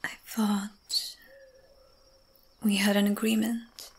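A woman playing a young, tormented, lovesick vampire in an ASMR roleplay, breathy and often whispering, halting and intense. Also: medium pitch, flat delivery. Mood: brooding, hushed. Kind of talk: ASMR roleplay, dramatic monologue.

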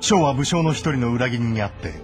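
A man narrates a historical game in a dramatic, scripted style. His pitch is average for a man but moves expressively. Music plays behind him. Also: lively intonation, brisk.

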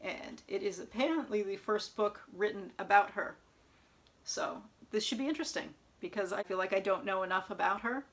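A young woman chatting casually about new books at home, sometimes reading back-cover blurbs aloud in an even tone, recorded by a camera close in front of her. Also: mid-range voice, normal speed. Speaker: book vlogger. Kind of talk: casual book haul chat with read-aloud blurbs. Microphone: camera in front at close range.

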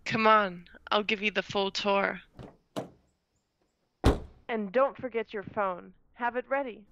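A woman's voice: medium pitch, steady tone, slow pace, highs cut off, background noise. A woman playing a cool, commanding demon in an audio drama, terse, dry and wry. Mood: matter-of-fact.

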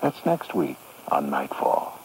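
A wry, sinister horror host on old radio. His low voice swoops theatrically and lingers slowly over the words. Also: huge pitch swings, a little mellow, exaggerated voice. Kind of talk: scripted theatrical narration. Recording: slightly noisy AM radio cassette.

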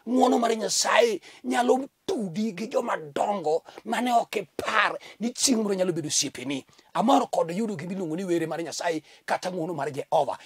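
A man's voice: high voice, breathy edge, dry recording. A middle-aged man from Kenya preaching a fervent TV sermon at a studio desk, with a recurring thanks-to-God refrain. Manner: fervent preaching with sweeping hand gestures. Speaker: pastor. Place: indoor TV studio set at a desk.

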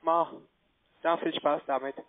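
A man giving a phone sales pitch in halting non-native German with grammar slips, as a call center agent. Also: steady tone. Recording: tinny band-limited phone line.

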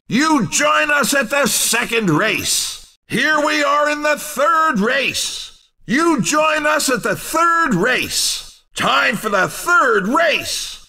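A man playing an exuberant, cheeky racing game commentator with showy, shouted, drawn-out announcing. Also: extremely high pitch, distant mic, full character voice. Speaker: race commentator. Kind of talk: excited video game race commentary.

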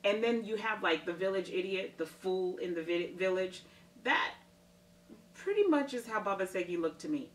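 A woman's voice: mid-range voice, normal speed. A woman in her thirties giving a casual, emphatic book review from her living room couch, often checking in with "okay?". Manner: animated, emphatic, tags sentences with "okay?". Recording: roomy, distant mic.

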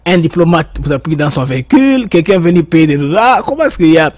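Male interviewer, fairly high voice, pushy questions, lots of euh and stammering. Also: big pitch swings, fast, a little mellow. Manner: pressing, stammers and fills with euh.

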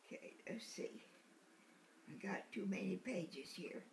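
Elderly woman, great-grandmother reading to a baby, slow pace, playful, roomy living-room recording. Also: mid-range voice, expressive, gravelly. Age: 80s. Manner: playful, repeating words slowly for a small child. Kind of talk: animated picture-book read-aloud with asides. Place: living room sofa, indoors. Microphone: handheld camera a short distance away. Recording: roomy home recording with background noise.

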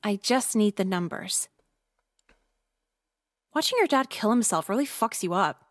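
An actress playing a sardonic, manipulative eighteen-year-old girl in a visual novel game, with deadpan snark. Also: medium pitch, a little slow, no echo, clean studio sound, lightly acted.

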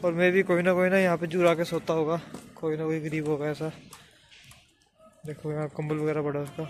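A man's voice: a little high, completely flat delivery, fairly quick, a little hiss or hum. A young man casually narrating a travel vlog into his phone, chatting to viewers as guys, first outdoors by the sea and later at home. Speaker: vlogger.

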